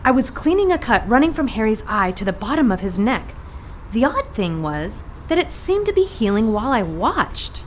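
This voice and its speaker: A woman's voice: fairly high pitch, very animated, moderate pace, slight background noise. A woman playing a plucky, sassy 1940s heroine who narrates her adventure in an audio drama with brash, wry slang. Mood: narrating, tense.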